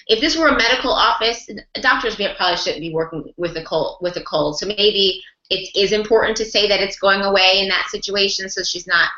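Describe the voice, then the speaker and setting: Bright-voiced woman teaching on a video call. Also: medium pitch, reverberant, muffled recording. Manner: encouraging teacher, talks through examples, rephrasing and repeating. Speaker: ASL interpreting mentor. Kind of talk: online group class discussion.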